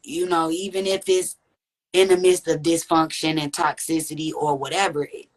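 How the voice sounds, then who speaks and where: A woman with a deep, raspy voice, speaking candidly and reflectively as a podcast guest. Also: little pitch movement, normal speed, poor audio. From Texas. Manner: reflective, earnest, with frequent "you know what I'm saying". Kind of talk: candid conversational interview.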